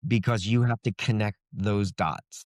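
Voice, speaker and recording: A man's voice: lowish voice, steady tone, a little slow, a little mellow, dry recording, clean studio sound. A man speaking conversationally as an author in an interview, explaining how he writes. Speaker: author being interviewed about his book.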